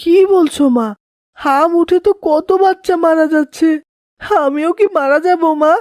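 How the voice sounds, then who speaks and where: A woman's voice: very high voice, measured pace, darkish tone, no room sound, studio quality. A woman voicing a scornful young schoolboy, a rude older brother, in a children's cartoon. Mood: sick, frightened. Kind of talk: children's moral cartoon voice acting.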